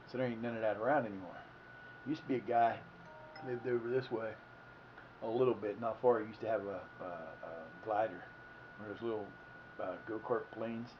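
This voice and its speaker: A man's voice: lowish voice, normal speed, background noise. Rambling man in his fifties, muffled audio. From Ohio, United States. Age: 50s. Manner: meandering, self-correcting, folksy. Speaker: livestream host. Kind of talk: rambling casual storytelling.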